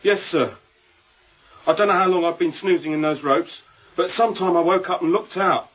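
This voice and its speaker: An actor playing a contrite, frightened sailor with a husky voice and an earnest, rambling, deferential way of talking, in a radio drama. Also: male voice, high voice, moderate pace, a bit bright, lo-fi sound. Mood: awed, earnest. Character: contrite, frightened sailor pleading after a drunken night. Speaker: ship's crewman.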